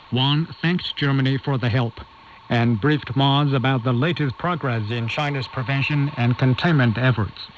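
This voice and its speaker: Through lightly noisy shortwave reception, a male news anchor reads a scripted bulletin. His voice is mid-pitched, and his delivery is formal and measured.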